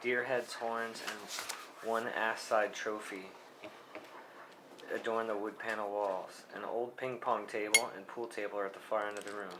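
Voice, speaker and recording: A man with a fairly low voice reads out a film script's stage directions. It is scripted narration at a table read, recorded with clear background noise. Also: flat delivery, normal speed.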